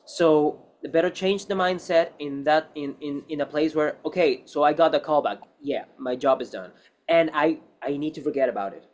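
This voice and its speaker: A man with a fairly high voice talks casually as an actor being interviewed. His English has non-native phrasing. He rambles through his advice, repeating key phrases with emphasis. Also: moderate pace.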